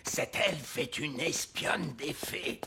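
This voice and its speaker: A woman's voice: deep voice, expressive, slow pace, gravelly, background noise, exaggerated voice. A woman voicing a sly, playful elf in a children's cartoon, mock-sweet and teasing. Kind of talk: animated children's cartoon dub.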